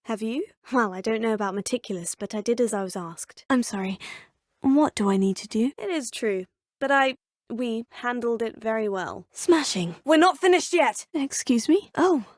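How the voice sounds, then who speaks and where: An actress with a British accent voicing a young woman who is a curious, polite student of magic in a video game, crisp and well-spoken with a lightly wry manner. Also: on the high side, expressive, normal speed, a little mellow, dry recording. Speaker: player character in a video game. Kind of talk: game voice clips. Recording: dry studio voice-over.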